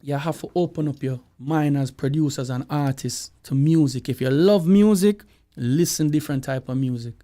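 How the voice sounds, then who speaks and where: A Jamaican man talking with a somewhat high but mellow, soft-edged voice. He is an interview guest who speaks casually and with animation. He drifts into patois as he explains things. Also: normal speed. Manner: animated, slips into patois, acts out quoted voices. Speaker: guest songwriter and producer.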